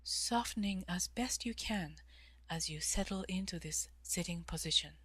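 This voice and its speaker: A woman leading a guided meditation in a calm, measured way, with long pauses and soft, breathy phrase endings. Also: fairly low pitch, no echo. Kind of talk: guided meditation, slow instruction.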